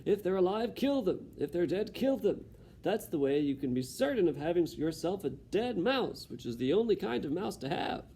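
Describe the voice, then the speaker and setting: A man's voice: high voice, big pitch swings, moderate pace, gravelly, warm tone, slight background noise, voice pushed up, heavily acted. A man doing a barking, bad-tempered cook. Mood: barking orders. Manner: barking, sarcastic. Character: bossy, bad-tempered kitchen cook woman.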